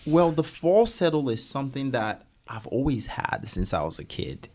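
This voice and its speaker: A man's voice: mid-range voice, normal speed, no room sound. A man, a singer and podcast guest, talking casually and emphatically about his music and life. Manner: animated, emphatic storytelling with laughs.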